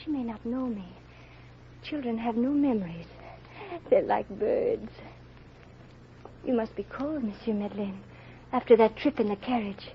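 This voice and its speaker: A woman's voice: a little high, unhurried, pauses often. An actress playing a feverish, dying mother who pleads tremulously for her child in an old radio drama. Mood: weak, wistful. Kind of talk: 1930s radio drama acting. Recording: dull, noisy vintage radio recording.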